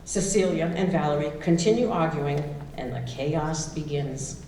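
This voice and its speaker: Distant mic, background noise, woman, scripted narration, stage directions, slightly low voice. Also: normal speed, echoey room.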